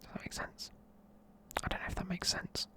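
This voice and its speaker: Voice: normal speed, bright, forceful tone. A tired, sleepy speaker, whispering a rambling film review close to the mic in the style of an ASMR video. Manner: rambling, loose, lots of fillers. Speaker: YouTuber reviewing films.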